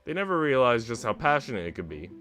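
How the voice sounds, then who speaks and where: A man's voice: mid-range voice, very animated, fast talker, fairly bright tone, soft background music. A man voicing a wealthy, kindly elderly noblewoman in a visual novel, with a genteel, reassuring manner. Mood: wistful, gracious. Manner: genteel, reassuring, passionate about the arts. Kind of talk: visual novel dialogue read aloud.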